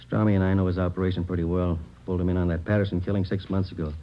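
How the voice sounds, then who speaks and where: A man's voice: deep voice, flat delivery, rapid-fire, close to an everyday voice. An American man playing a practical, plain-spoken police detective in a radio drama, talking terse shop talk. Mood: matter-of-fact. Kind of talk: 1940s-50s radio drama acting. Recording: dull, faintly noisy old radio recording.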